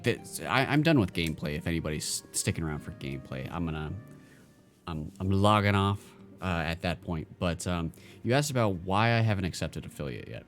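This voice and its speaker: Low-voiced male streamer thinking aloud. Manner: halting, thinks aloud while answering chat. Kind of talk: casual live-stream chat.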